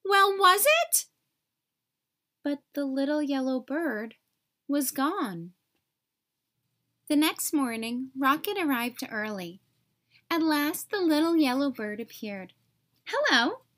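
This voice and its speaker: Lady reading a kids book out loud, high voice, slow n careful, expressive. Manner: careful, clearly phrased for young listeners. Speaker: read-aloud narrator for young children. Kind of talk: expressive storybook narration.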